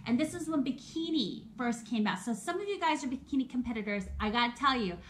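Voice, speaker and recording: A woman's voice: fairly high pitch, expressive, fast. A woman in her thirties hosting a casual live video, chatty and personal and often laughing as she talks straight to her viewers. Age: 30s. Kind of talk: casual live-stream chat.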